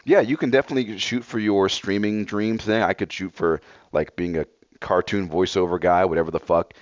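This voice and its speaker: A man's voice: low voice, fairly even intonation, brisk, dry recording, muffled recording. A man in his thirties chatting casually as a podcast co-host, blunt and laid-back with a slightly scratchy voice. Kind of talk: casual chat.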